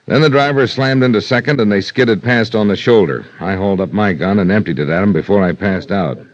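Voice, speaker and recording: Guy playing a tired rueful police lieutenant, low voice, plain talking, dull old radio recording. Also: a little fast, lightly acted. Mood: rueful, subdued. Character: weary, rueful highway police lieutenant, plain-spoken adult man. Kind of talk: 1950s radio drama acting.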